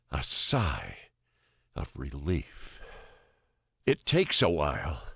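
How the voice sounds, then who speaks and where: A man reading a first-person story as an audiobook narrator, deliberate and dramatic, pausing between phrases. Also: fairly low pitch, no room sound, studio quality.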